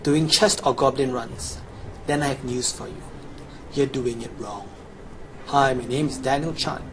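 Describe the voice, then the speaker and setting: A man's voice: mid-range voice, measured pace. A young adult man giving a scripted promotional voice-over as a gamer presenting his guide. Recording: clearly noisy home recording.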